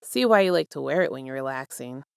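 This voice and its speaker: A woman's voice: extremely low pitch, expressive, fast talker, vocal fry, clean tone, dry recording, studio quality, voice pushed down, full character voice. A woman voicing a shy, vulnerable male spirit hybrid in a fan fiction reading, hesitant and nearly whispering.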